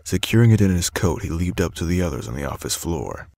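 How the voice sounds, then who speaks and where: A man's voice: sits low, very animated, quick pace, gravelly, darkish tone, dry recording, studio quality. A man reading scripted story narration as the narrator of an audio drama. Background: music.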